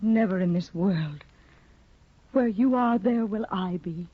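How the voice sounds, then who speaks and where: A woman's voice: mid-range voice, slow pace, no echo. Thea, a heartbroken young woman. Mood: distraught. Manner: pleading, heartbroken, rising to cries. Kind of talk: 1950s radio drama acting. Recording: dull, dry 1950 radio broadcast.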